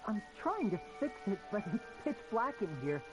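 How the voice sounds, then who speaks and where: A confident young stage magician, theatrical and playful with courteous showman polish. His light voice moves in lively, animated swings over strong game music. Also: high-pitched, moderate pace. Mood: assured. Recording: game audio with loud music behind.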